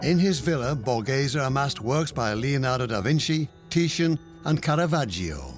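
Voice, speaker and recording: A man narrating a travel documentary in a calm, measured scripted voice-over. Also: mid-range voice. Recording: voice-over with music behind. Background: music.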